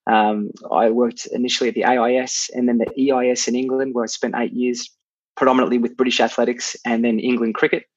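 A man with an Australian accent, a sports physio, describing his career in a flat, matter-of-fact way over a remote call. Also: mid-range voice, quick pace, slightly rough, no echo, dull audio.

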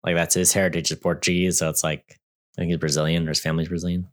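A man's voice: low voice, little pitch movement, racing. A man co-hosting a podcast, chatting casually in a wry, joking way, rambling with many fillers and restarts. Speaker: podcast co-host. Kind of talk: casual chat.

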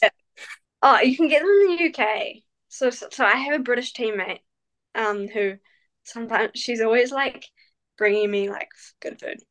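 Hesitant New woman, relaxed. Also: on the high side, normal speed, low-quality recording. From New Zealand. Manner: hesitant, many fillers, relaxed. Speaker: guest, professional cyclist. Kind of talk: casual interview answers.

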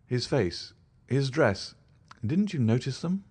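A brisk, clever detective , as the reader puts him on, speaks in crisp, commanding phrases with a lively lilt. He presses his questions impatiently and his voice moves freely up and down. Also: mid-range voice, pitched above the performer's own voice, heavily acted. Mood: probing. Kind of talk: audiobook character voice.

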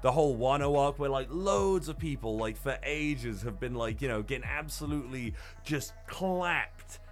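Guy cohosting a podcast, rambly and halting recap, sweary, normal pitch, bit of music behind. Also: lively intonation. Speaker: podcast co-host. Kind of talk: casual manga recap discussion.

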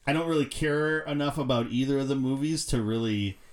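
A man's voice: medium pitch, fairly quick. A man chatting casually as a panelist on a film discussion podcast.

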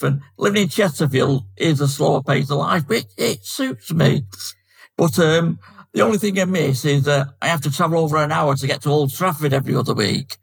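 An older man with a Manchester accent, chatting easily as a podcast guest and filling pauses with um. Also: a little high, gravelly, breathy edge, poor audio. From Manchester, England. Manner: chatty, friendly, fills pauses with um. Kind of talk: casual chat.

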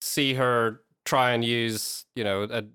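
A man with a smooth, clear voice of medium pitch co-hosts a podcast. He chats informally and haltingly, often starting a phrase and repeating its first words before going on. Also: steady tone, pushed and bright. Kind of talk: casual chat.

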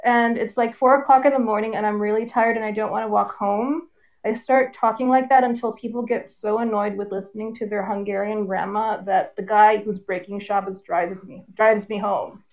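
A woman's voice: medium pitch, fairly even intonation, moderate pace, rough recording. A woman hosting a podcast who chats casually and rambles through funny anecdotes. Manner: chatty, laughing, rambling anecdotes. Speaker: podcast host and musician. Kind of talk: casual chat.

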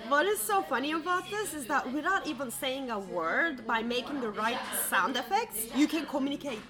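Female podcast co-host, conversational and talkative, slightly high voice, audible crowd in the background. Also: lively intonation, normal speed. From outside France. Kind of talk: casual conversational podcast talk.

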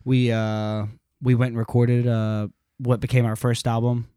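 A man in his late twenties talking through his band's history in a halting, searching way. He fills gaps with ums and often restarts his sentences. His voice sits at a middle pitch and sounds dry and close. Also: little pitch movement, mellow, smooth, no room sound. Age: late 20s. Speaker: band singer and guitarist, guest. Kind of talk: casual interview storytelling.

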